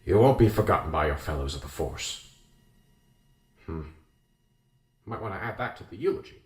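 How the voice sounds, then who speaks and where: An actor playing a steady, dutiful small-town police investigator in a radio drama, measured, formal and dry. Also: male voice, deep voice. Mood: weary, solemn. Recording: echoey room.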